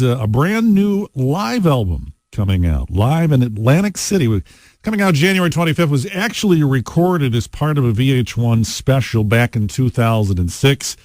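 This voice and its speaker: A man hosting a rock radio show, talking in a relaxed, chatty way with frequent fillers between songs. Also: medium pitch, no room sound. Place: radio studio. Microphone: studio microphone.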